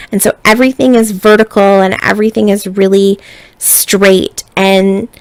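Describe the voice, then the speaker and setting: A woman narrating a scrapbooking tutorial in a relaxed, chatty way, sounding a little stuffy as she gets over being sick. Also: medium pitch, clean tone, no echo. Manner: relaxed, chatty step-by-step explaining. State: stuffy, recovering from illness. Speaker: scrapbooking designer.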